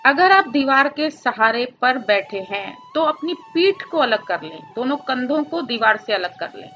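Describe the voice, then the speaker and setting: A woman meditation teacher giving guided instructions gently, phrase by phrase, with pauses between them. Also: high voice, very animated, muffled recording, faint music behind.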